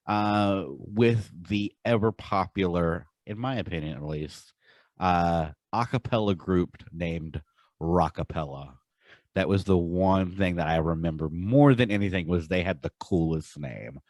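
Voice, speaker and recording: A man's voice: fairly low pitch, no room sound. A man co-hosting a podcast in casual chat, informal and slangy with strong opinions. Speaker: podcast co-host.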